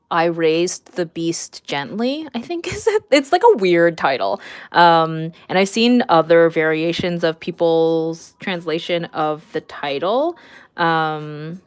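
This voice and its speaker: A woman in her thirties with a slightly low voice talks in a relaxed, informal livestream style. She is chatty and giggly, moving between reading out viewer messages and answering them while she draws. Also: smooth. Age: 30s. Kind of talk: casual livestream chat while drawing.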